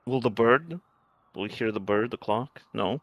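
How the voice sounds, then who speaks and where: A man's voice: on the low side, moderate pace, dry recording. Dry-recorded man chatting casually over a game. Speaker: co-player commenting on the game. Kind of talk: casual joking chat during a game.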